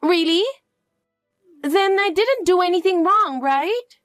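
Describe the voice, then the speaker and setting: A woman's voice: very high voice, expressive, slow pace, a bit bright, no echo, soft background music, voice pushed up, exaggerated voice. A woman voicing a frightened little girl who clings to her mother with pleading questions. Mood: scared. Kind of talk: dramatic dialogue.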